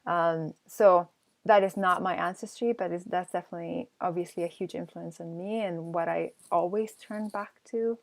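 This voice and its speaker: A woman from Peru, an artist answering questions in an online talk, with many pauses, ums and restarts. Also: on the low side, steady tone, creaky. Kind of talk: casual Q&A answers.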